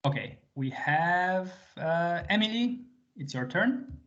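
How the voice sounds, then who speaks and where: A man's voice: high voice, lively intonation, slow pace, fairly bright tone. Patient man teaching over a video call. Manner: patient, switches between English and Albanian, frequent fillers. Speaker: language teacher. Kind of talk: live online group lesson. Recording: slightly muffled video call audio.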